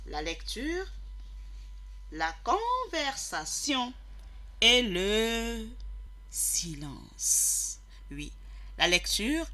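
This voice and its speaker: Bright-voiced radio host teaching listeners. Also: male voice, high voice. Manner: repeats phrases for emphasis; didactic, addresses listeners directly. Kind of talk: radio show presenting.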